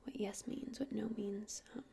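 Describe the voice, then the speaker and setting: A woman whispering softly and breathily in an ASMR roleplay as a friendly witch shopkeeper, explaining things in a gentle, hesitant, rambling way. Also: mid-range voice, fairly even intonation, unhurried. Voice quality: breathy unvoiced whisper with creaky edges. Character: friendly witch shopkeeper selling crystals and incense. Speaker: ASMR roleplayer playing a crystal shop witch.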